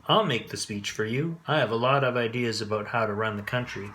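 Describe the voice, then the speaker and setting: A man voicing a bossy, brash little sister in a children's storybook, loud and pushy, full of herself. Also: mid-range voice, brisk, noisy background, lightly acted. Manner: loud, pushy, self-important. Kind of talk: children's storybook read aloud.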